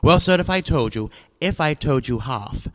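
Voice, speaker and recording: An actor playing a smooth, fat, older male villain in a radio drama, with ornate, courtly speech and a constant "sir". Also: on the high side, animated, moderate pace, in a large hall, lightly acted.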